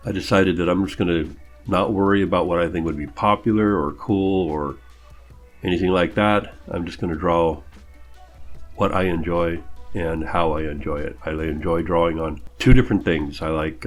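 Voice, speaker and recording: A man giving a casual art demo voice-over, rambling and thinking aloud with hesitant phrasing as an artist talking about his own work. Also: sits low. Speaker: artist narrating own drawing video.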